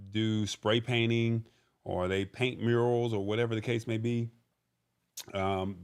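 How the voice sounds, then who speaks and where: Low-pitched, gravelly man hosting casually. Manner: informal, conversational, thinking aloud. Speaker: live show host. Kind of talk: casual livestream talk, reading viewer comments.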